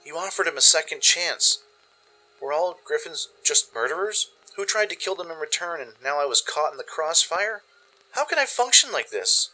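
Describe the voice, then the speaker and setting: A man playing an anxious, tender-hearted young mare pony wandering a wasteland, in an expressive, introspective radio drama style. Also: on the high side, normal speed, pitched above the performer's own voice, heavily acted, rough recording. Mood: anguished, pleading. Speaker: narrator and voice performer. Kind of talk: first-person dramatic audio story narration. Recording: music under the voice. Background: music.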